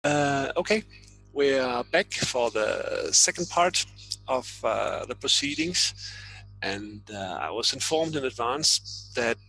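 A man's voice: mid-range voice, lively intonation, slow, vocal fry, pushed and bright, faint music behind, rough recording. A man chairing an online thesis defence, making formal announcements and handing over the floor. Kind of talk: chairing a meeting.

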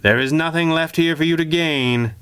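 A man's voice: on the high side, normal speed, fairly bright tone, clean tone, pitched above the performer's own voice, natural voice. A man as a bold masked pulp hero. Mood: confident. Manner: bold, commanding. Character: confident, unflappable masked male pulp hero.

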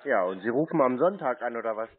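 A man's voice: medium pitch, animated, fast talker, husky. A man chatting casually on the phone as a prankster, teasing people with feigned earnestness. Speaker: prank caller answering scam calls. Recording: narrow, tinny phone-like sound.

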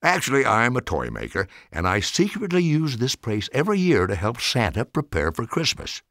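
A man's voice: mid-range voice, huge pitch swings, moderate pace, fairly bright tone, dry recording, clean studio sound. Man playing a chuckling, playful old toy maker. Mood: amused.

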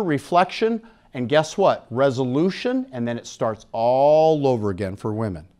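A middle-aged man giving a frank, informal live lecture in a jokey, conversational way, speaking into a handheld microphone. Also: fairly high pitch. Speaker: conference speaker on sexual health. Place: indoor conference room with seated audience.

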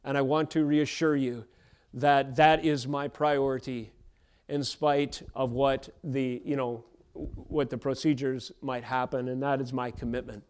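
An American man in his thirties preaching an expository sermon in an earnest, deliberate manner with formal wording. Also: medium pitch, a little mellow, smooth. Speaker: pastor. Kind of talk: expository sermon with scripture reading. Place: church stage at a wooden pulpit, indoors. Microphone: handheld microphone held at chest.

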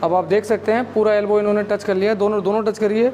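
High-voiced man teaching physio exercises. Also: quick pace, slight background noise. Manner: calm, instructive, explains step by step. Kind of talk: clinic exercise demonstration. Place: physiotherapy clinic room.

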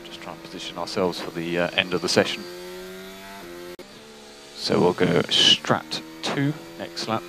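A man working as a race engineer, giving calm, clipped technical instructions over team radio. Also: low-pitched, measured pace, vocal fry, long pauses. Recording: noisy team radio, engine noise behind.

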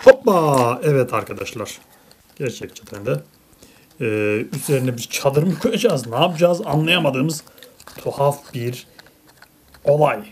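A woman's voice: extremely low pitch, lively intonation, unhurried, long pauses. A woman chatting casually with a child and viewers as a parent hosting a toy unboxing, playfully exasperated. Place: indoors at a table. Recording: clearly noisy home recording with clicks.

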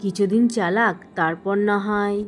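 A woman's voice: mid-range voice, fairly even intonation, normal speed, slight background noise, lightly acted. Woman as a dim, fawning cartoon villain husband. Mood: grumbling. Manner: fawning, grumbling. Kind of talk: Bengali cartoon voice acting.

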